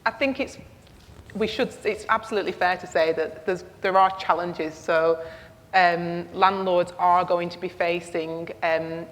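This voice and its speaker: A woman with a low, flat, even voice speaks on a panel, measured and careful with many pauses and fillers. A long hall reverb trails behind her words. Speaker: lender panelist. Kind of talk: panel discussion. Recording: echoey hall.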